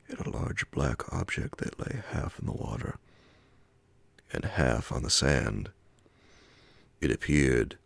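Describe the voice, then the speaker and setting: A man narrates a horror bedtime story in an extremely low voice full of heavy fry. His delivery is hushed, measured and slow, made for ASMR. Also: dry recording. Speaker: narrator reading classic horror fiction. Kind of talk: ASMR audiobook scripted narration.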